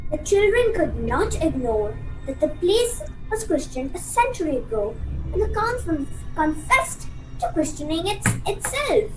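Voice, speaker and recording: A woman's voice: very high-pitched, very animated, measured pace, low-quality recording. A woman narrating a radio play in a dramatic, scripted storytelling style. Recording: loud music behind voice.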